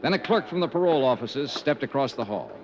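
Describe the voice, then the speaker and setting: An actor playing a wry, hard-boiled insurance investigator who also narrates, in a dry, clipped 1950s radio-drama style. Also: male voice, mid-range voice, lively intonation, fast talker, background noise, close to an everyday voice. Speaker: narrator and lead. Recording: muffled old radio broadcast with faint music.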